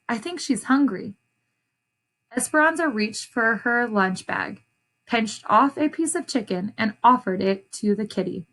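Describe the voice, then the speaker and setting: A woman reading a picture book aloud like a teacher, deliberate and expressive, pausing to explain and repeat phrases for young listeners. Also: mid-range voice, low-quality recording. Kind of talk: children's read-aloud with short explanations.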